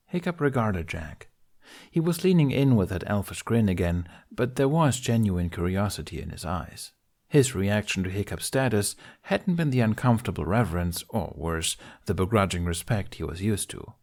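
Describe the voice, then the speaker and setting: A man's voice: lowish voice, big pitch swings, moderate pace, a little mellow. A man reading a story aloud as a scripted narration. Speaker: fan fiction narrator.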